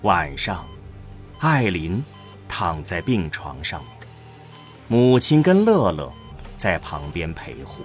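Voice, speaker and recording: Animated male drama narrator. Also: deep voice, slow pace, long pauses, faint music behind.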